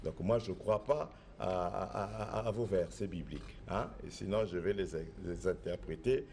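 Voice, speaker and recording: Insistent man with a low voice. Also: moderate pace, gravelly, dark tone, slight background noise. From Republic of the Congo. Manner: assertive, insistent, repeats himself. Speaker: traditional spiritual master. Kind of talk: interview answers.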